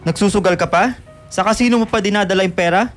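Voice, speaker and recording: Radio drama father, male actor, middle-aged, high male register, anxious, scolding, reproachful. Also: fast talker, soft background music, lightly acted. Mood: worried, scolding. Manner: reproachful, questioning.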